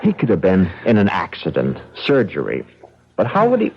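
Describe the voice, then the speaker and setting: Folksy American farmer, husky, swooping pitch. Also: male voice, wildly swooping pitch, full character voice. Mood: sceptical. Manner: halting, folksy, stammering. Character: farmer husband, soothing but sceptical. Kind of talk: old radio drama acting. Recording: dull, muffled old radio recording.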